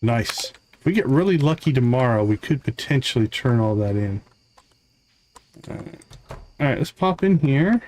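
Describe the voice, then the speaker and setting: A man's voice: medium pitch, darkish tone, slight background noise. A man giving casual live gameplay commentary as a streamer, thinking aloud as he goes. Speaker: game streamer, host.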